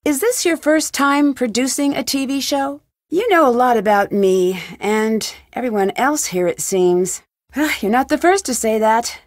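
Casting: a young female sleuth for an adventure game, inquisitive and composed, fairly high voice, dry close recording. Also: dry recording. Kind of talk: video game dialogue, questioning.

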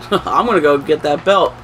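A young man playing a video game with a friend, calling out the action with excitable exclamations. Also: a little high, unhurried, slight background noise, rough recording. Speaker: co-player. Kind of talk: casual play-by-play banter.

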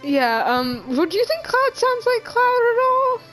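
A young woman chatting casually as she plays a game, excitable, giggly and rambling. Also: very high voice, a little slow, soft background music, rough recording. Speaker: gamer. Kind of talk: casual chat over gameplay.

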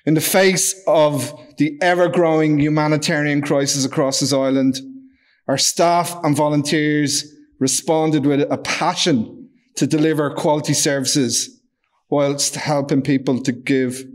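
Man in his fifties, loud voice, slightly high pitch, formal speech at a podium, podium microphone, large function room. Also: steady tone. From Ireland. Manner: measured, formal delivery with occasional stumbles and fillers. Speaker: charity executive giving a speech. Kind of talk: reading a prepared speech at a podium.

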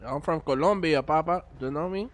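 A man with a fairly high voice bantering in slang, laughing often and boasting playfully. He talks into a desk mic in an indoor streaming setup. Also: fairly quick. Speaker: streamer playing a roleplay character. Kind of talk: casual roleplay banter.

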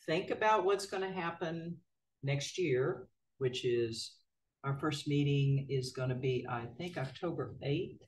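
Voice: mid-range voice, unhurried, a little hiss or hum. Chair of a small civic board, casual folksy delivery, picked up at a distance in a meeting room. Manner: folksy, relaxed, hesitant with many "uh" fillers. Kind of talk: formal meeting procedure mixed with casual remarks. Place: city council chamber.

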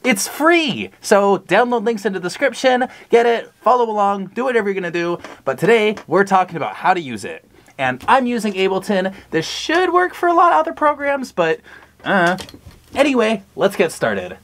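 A music vlogger with a very lively, swinging pitch that sits in a middle range. The delivery is playful and informal, like a casual home tutorial. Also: normal speed. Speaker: music tutorial video host. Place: small cluttered home music studio room.

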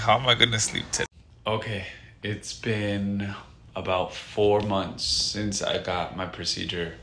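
A man's voice: sits low, gravelly, pushed and bright. A man in his thirties talking casually as a vlogger and patient, recorded on a phone indoors. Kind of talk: casual vlog chat. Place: small indoor rooms, clinic and bedroom. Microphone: phone, often at arm's length. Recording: roomy indoor sound, faint noise.

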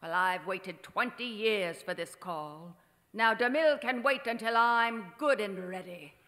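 A woman's voice: medium pitch, unhurried, fairly bright tone, lightly acted. A woman playing a grandiose, haughty faded film diva in a theatrical radio drama. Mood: imperious. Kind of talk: old-time radio drama acting. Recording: reverberant theatre stage recording.

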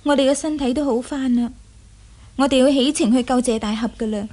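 High-voiced shrewd young heroine. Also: female voice, fairly quick, dark tone. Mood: scheming. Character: shrewd, teasing, imperious young heroine woman. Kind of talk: Cantonese radio drama acting.

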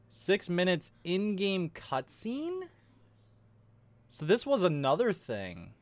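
A young man giving casual, excitable reaction commentary as a gaming streamer, often repeating words. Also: fairly high pitch. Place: small cluttered gaming room at home. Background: game trailer music.

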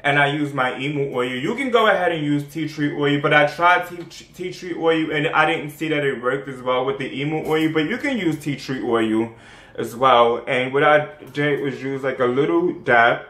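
A man's voice: on the high side, normal speed, a bit bright. A young man with a New York accent talks through a home skincare routine in a loose, rambling way, full of "um" and "like" as he restarts his sentences. He speaks close to the camera in a small room with bare walls, which gives his voice a noticeable echo. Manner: rambling, chatty, many fillers and restarts. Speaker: skincare vlogger. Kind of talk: casual how-to vlog.

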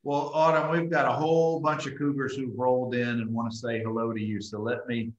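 A man's voice: medium pitch, level tone, brisk, reverberant, muffled recording, slight background noise. A middle-aged man, hosting a friendly interview over a video call, often repeating words as he starts a phrase. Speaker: host. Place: home room with school mascot wall.

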